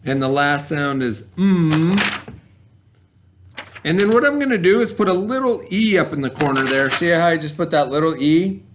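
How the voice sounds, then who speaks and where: A man's voice: a little high, lively intonation, normal speed, background noise. A man teaching a remote reading lesson to children in an informal, instructional way, carefully sounding out letters and words. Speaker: elementary school teacher.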